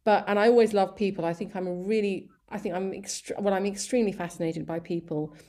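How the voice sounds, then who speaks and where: A woman's voice: medium pitch, steady tone, a little fast, warm tone. A woman in her fifties, a guest in an interview, telling her life story in a rambling, chatty way with many fillers and restarts. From Sri Lanka, raised in England. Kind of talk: casual conversational interview.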